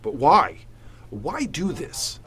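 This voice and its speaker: An earnest radio-play police commissioner. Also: male voice, fairly high pitch, wildly swooping pitch, slow pace, sharp tone, heavily acted. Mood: grim disbelief. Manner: earnest, dramatic. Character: grave male police commissioner, indignant and tense. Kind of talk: old-time radio drama acting.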